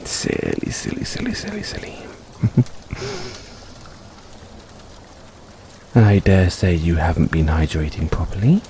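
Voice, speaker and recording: Deep slow-talking guy acting as a sweet teasing boyfriend, soft comfort roleplay, faint rainy noise behind him. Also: vocal fry, exaggerated voice. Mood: teasing, fond. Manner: soft-spoken, playful, coaxing, chuckles often. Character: caring, gently teasing boyfriend soothing an overworked partner.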